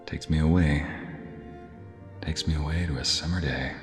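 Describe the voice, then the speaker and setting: A man playing a nervous, gentle, lovestruck concert violinist in an intimate ASMR roleplay, with hesitant, breathy asides and stammers. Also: extremely low pitch, fairly even intonation, normal speed, big-room sound, over music. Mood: dreamy, soothing.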